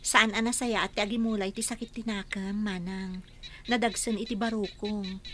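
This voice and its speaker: A woman's voice: mid-range voice, steady tone, moderate pace, a bit bright, natural voice. An actress playing a cheeky but helpful young woman who teases and chats in a radio drama. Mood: earnest. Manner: teasing, chatty.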